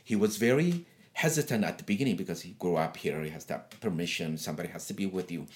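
A man's voice: deep voice, fast talker, a little mellow. A middle-aged man from Eritrea, whose first language is Tigrinya, chatting easily in an interview and telling stories about his home with restarts and laughter. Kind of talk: casual conversational interview.

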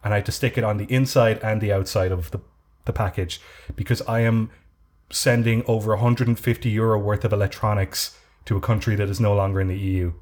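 A man chatting casually on a podcast, telling a drawn-out story with many restarts. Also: on the low side, fairly even intonation, darkish tone. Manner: rambling storyteller with frequent restarts. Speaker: podcast co-host. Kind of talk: casual chat.

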